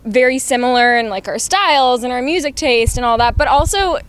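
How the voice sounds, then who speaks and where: A woman's voice: sits high, lively intonation, fairly quick. A young woman in a band talking earnestly, with frequent "like" fillers. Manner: earnest, fills with "like". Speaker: band member. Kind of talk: casual interview chat. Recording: faint background noise.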